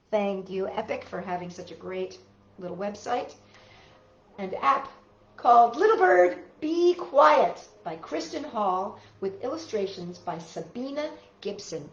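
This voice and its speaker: A woman hosting a storytime for children, reading aloud in an expressive, casual way and putting questions to young listeners. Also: mid-range voice, roomy sound, lo-fi sound. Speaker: storytime host reading picture books to children.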